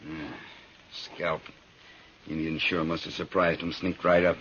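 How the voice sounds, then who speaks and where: An actor playing a gruff, short-tempered male stagecoach driver in a radio western, brusque and sarcastic. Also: sits low, level tone, a little slow. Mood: grim, urgent. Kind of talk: 1950s radio western acting. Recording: muffled old radio recording, faint noise.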